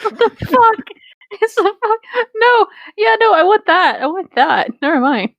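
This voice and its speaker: A woman's voice: extremely high pitch, a little slow, no echo, dull audio. A woman in casual, playful talk with friends, joking and reading a story aloud. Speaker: co-reader in a group creepypasta reading.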